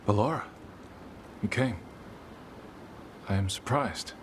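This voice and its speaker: An actor playing a devout, proud elven zealot in a game cutscene, speaking in a formal, grave and fervent manner. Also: male voice, on the low side, takes it slowly, slight background noise. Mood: cool, reserved. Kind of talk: dramatic video game cutscene acting. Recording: loud background music.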